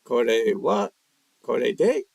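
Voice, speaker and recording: A man's voice: mid-range voice, very animated, very slow, gravelly, a little mellow, husky, no room sound, clean studio sound. A man hosting a live language-practice stream, reading viewer questions from the chat and answering them casually with lots of fillers. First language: English. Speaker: livestream language host.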